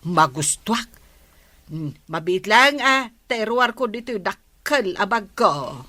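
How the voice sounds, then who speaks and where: A woman's voice: mid-range voice, animated, slow pace, bright, forceful tone, slight background noise. A woman with a Filipino accent playing a female character in a comedy radio drama. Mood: drawling, amused.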